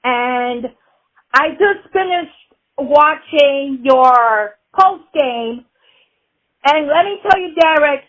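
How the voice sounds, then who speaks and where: A woman's voice: high-pitched, lively intonation, extremely slow, fairly bright tone. A woman from the Bronx leaving a voicemail as a caller with casual sports opinions. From the Bronx, New York. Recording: dull, lo-fi voicemail.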